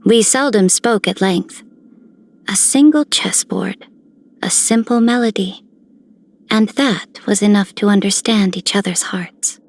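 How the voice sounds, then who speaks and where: A woman voicing a composed, lofty noblewoman in a video game, in measured, solemn, poetic phrases. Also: medium pitch. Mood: reflective. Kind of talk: scripted game character lines.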